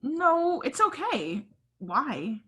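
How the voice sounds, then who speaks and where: Lady doing a gushy teen girl in love, high n sharp, really excitable, comedy table read. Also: extremely slow, heavily acted. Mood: gushing, lovestruck. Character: gushing, lovestruck teenage girl, eager and excitable.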